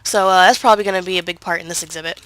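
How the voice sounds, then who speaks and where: A woman's voice: fairly low pitch, fairly even intonation, racing, fairly bright tone, no room sound. A woman with a head cold, sounding congested, chatting casually through gameplay with lots of fillers and self-corrections. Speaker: gaming video creator.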